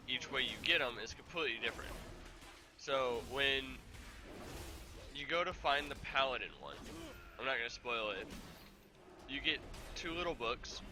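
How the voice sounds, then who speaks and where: A man's voice: medium pitch, noisy background. Young man with a sore throat, commentating gameplay. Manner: casual, rambling, excitable. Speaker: gaming YouTuber.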